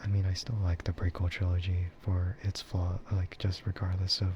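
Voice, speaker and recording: A man streaming a casual, rambling ASMR chat in a soft, breathy voice that often slips into a whisper. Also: sits low, monotone, moderate pace, lo-fi sound, a little hiss or hum. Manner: rambling, laid-back. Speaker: streamer and VTuber host.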